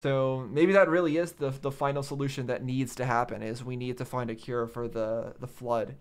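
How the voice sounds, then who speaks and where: A man's voice: medium pitch, steady tone, moderate pace, clear, resonant tone. A man hosting a game reaction channel, thinking aloud in casual commentary and repeating phrases as he reasons.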